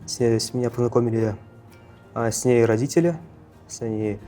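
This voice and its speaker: A man in his late teens speaks casually in an interview with a mellow, soft-edged tone. He halts and corrects himself as he goes. Faint music sits behind him. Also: mid-range voice, fairly even intonation. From Russia, Yakutia. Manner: halting, self-correcting. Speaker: first-year university student. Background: faint background music.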